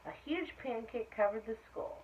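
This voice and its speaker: Lady reading a kids picture book out loud, explains stuff simply, kinda muffled cheap recording, bit of background noise. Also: fairly high pitch, normal speed. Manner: explains simply to young listeners. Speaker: story-time reader for children. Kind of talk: read-aloud picture book narration with asides to children. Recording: dull lo-fi sound with faint noise.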